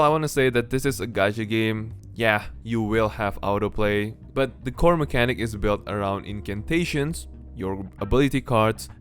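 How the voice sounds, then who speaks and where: A man narrating a video game review, mostly scripted, in a blunt, conversational manner. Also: medium pitch. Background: background music.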